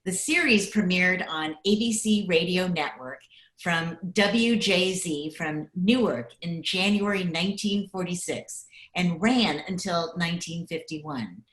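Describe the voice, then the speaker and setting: A woman's voice: fairly low pitch, measured pace, a bit bright. A woman reading a scripted old-time radio announcement as the announcer. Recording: roomy video-call recording.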